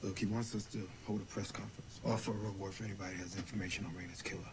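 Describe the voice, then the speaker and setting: A man's voice: low voice, fairly even intonation, racing, close to an everyday voice. An actor playing a determined grown man pushing a plan in a TV drama clip, speaking insistently. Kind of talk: TV drama acting. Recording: drama clip with background noise.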